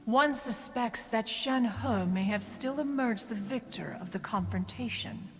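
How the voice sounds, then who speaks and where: A woman playing an ancient, haughty crane immortal in a video game, speaking formally in measured, archaic phrases. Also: on the high side, long reverb tail. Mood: solemn, measured. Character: ancient, haughty female crane adeptus, aloof and wise. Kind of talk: scripted video game character acting.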